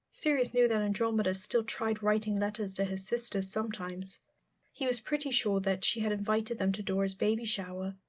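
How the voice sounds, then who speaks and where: Woman narrating an audiobook. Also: mid-range voice. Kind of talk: scripted story narration.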